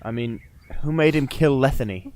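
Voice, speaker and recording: A man's voice: medium pitch, moderate pace, a little mellow, slight background noise, lightly acted. A man playing a weary, reasonable and slightly haughty elf, articulate and exasperated, in a comic fantasy audio drama. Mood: apologetic.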